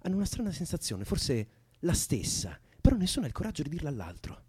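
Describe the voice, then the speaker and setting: Radio drama: young man, imaginative, soothing and coaxing, very animated intonation, husky edge. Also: on the high side, quick pace, heavily acted. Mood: absorbed storytelling. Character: young man soothing a distressed woman with invented stories. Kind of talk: Italian radio drama acting.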